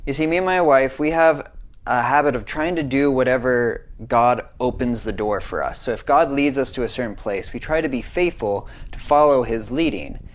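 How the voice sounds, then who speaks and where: American man, young adult, devotional talk, earnest, conversational, mid-pitched voice. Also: normal speed. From United States. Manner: earnest, conversational, often asks "right?".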